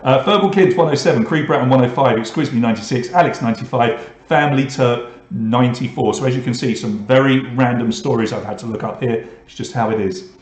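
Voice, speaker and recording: A man's voice: mid-range voice, quick pace, hardly any pauses, reverberant. Joking man hosting an online pub quiz. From England. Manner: reads questions and scores, joking asides to players. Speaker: quiz host.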